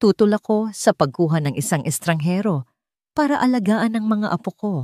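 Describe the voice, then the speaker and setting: A woman's voice: medium pitch, lively intonation, normal speed, a little mellow, dry recording, clean studio sound, pitched above the performer's own voice, natural voice. A woman voicing a stern elderly grandmother in an audio story, speaking with emphatic, accusing conviction. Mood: indignant, accusing.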